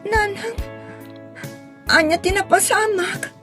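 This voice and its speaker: Woman acting plaintive, high-voiced young daughter in melodrama. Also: slow pace, a bit bright, faint music behind, heavily acted. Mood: distraught. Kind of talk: sentimental audio drama acting.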